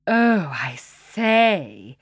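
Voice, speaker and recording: A woman's voice: fairly low pitch, big pitch swings, breathy edge, no echo, studio quality, full character voice. Woman doing a mincing, kindly lion. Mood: genial. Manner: mincing, drawn-out. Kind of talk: storybook reading.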